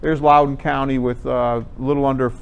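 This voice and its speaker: Clear-voiced man giving an informal presentation. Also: mid-range voice, flat delivery, brisk, highs cut off. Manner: conversational, explanatory. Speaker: presenter at an amateur radio club talk. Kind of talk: informal slide presentation to a group.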